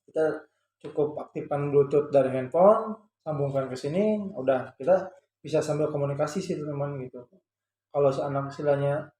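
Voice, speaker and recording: Mellow man in an echoey room. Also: on the high side, brisk. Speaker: vlogger and parent showing a product. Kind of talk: casual explanatory vlog chat. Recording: echoey room with faint background noise.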